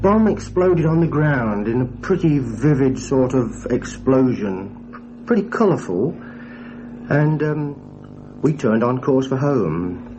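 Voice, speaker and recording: A man's voice: mid-range voice, lively intonation, slow, lo-fi sound. A middle-aged man recounting wartime memories as a former wireless operator, hesitant and understated. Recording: clear background hum.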